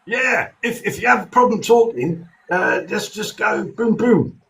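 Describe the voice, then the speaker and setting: A man's voice: high-pitched, expressive, a little slow, creaky, a bit bright. A man hosting a live stream, chatting in a rambling way full of ums and restarts. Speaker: host. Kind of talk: live-stream chat with callers. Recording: echoey room on a live stream.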